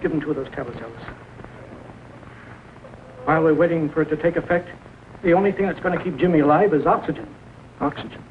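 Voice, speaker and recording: A man plays a grave, commanding country doctor with a slightly raised male pitch. His orders are short and measured. The 1940 film soundtrack is narrow and tinny, with light hiss. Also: natural voice. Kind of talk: 1940s film acting.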